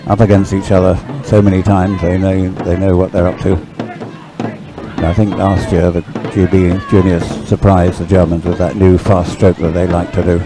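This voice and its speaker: A man's voice: low-pitched, little pitch movement, normal speed. A man giving chatty, unscripted live sports commentary, often hesitant and thinking aloud as he goes.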